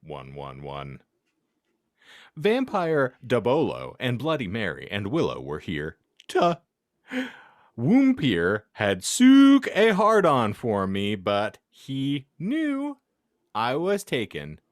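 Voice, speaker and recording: A man's voice: medium pitch, wildly swooping pitch, slow, no echo. A man hosting a podcast, giving a comic dramatic reading of fan fiction in a theatrical way and often close to laughing. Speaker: podcast host.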